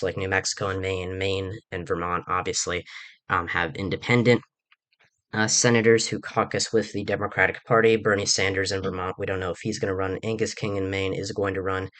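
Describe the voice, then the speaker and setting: A young man with a very low yet bright, forceful voice talks through politics in a loose, rambling way, full of fillers and restarts. He sounds groggy after a recent illness. The recording is dry and close, with no room sound. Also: little pitch movement, moderate pace, dry recording. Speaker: political channel host. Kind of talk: casual political commentary video.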